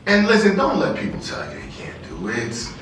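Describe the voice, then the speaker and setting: A man's voice: medium pitch, huge pitch swings, moderate pace, a bit bright. An American man leading an interactive sales training as a motivational trainer, often checking in with his listeners. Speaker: workshop trainer. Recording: roomy echo, distant mic, faint music.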